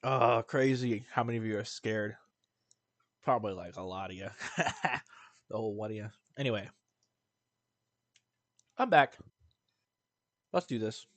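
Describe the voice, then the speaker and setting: A man's voice: fairly low pitch, a little slow, long pauses, no echo. A man livestreaming a video game, rambling casually as he chats to his viewers. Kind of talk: casual gameplay stream chat.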